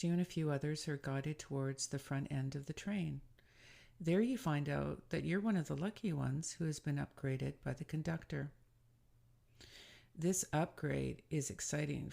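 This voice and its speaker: Gravelly-edged woman guiding a meditation. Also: sits low. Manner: soothing, deliberate, gently instructive. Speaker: spiritual guide leading a guided meditation. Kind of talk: guided meditation, scripted narration.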